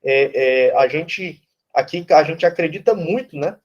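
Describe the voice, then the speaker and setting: A man's voice: fairly high pitch, measured pace, lo-fi sound. A Brazilian man, a philosophy professor, lecturing informally and bluntly, often checking in with "né?" and "tá certo?". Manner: conversational, tags lines with "né?" and "tá certo?"; blunt, casually swears.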